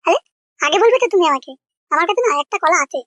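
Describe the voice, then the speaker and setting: A man voicing a cheeky, mischievous little boy pupil in a comedy cartoon, with a pitched-up voice. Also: expressive, fast talker, a bit bright, no room sound. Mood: sly, eager. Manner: questioning, coaxing.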